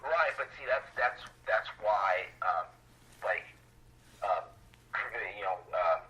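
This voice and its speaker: A man's voice: lowish voice, animated, slow pace, pushed and bright. A man explaining things emphatically in casual conversation, speaking over a phone. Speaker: interview guest, poet. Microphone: phone call. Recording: dull lo-fi phone line.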